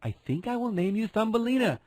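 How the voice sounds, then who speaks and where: A man's voice: high voice, sing-song, fairly quick, darkish tone, no room sound, studio quality, pitched above the performer's own voice. A man voicing a kind, lonely, tender woman who longs to mother, in a storybook. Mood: tender joy. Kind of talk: storybook character voice.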